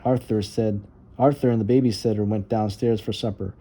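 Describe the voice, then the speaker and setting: Very mellow, soft-toned man reading a storybook. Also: on the low side, steady tone, a little fast, husky. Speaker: storybook reader. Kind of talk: children's read-aloud narration.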